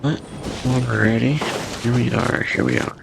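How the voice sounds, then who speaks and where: Laid-back, creaky-voiced male gamer. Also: sing-song, measured pace, background noise. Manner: low-key, brief murmured remarks. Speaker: gaming streamer. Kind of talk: casual let's play reaction commentary. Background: game music.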